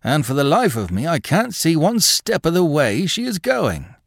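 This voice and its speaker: A man's voice: on the high side, sing-song, moderate pace, gravelly, no room sound, studio quality, pitched above the performer's own voice, heavily acted. A man doing a bothered police detective. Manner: uneasy, puzzled.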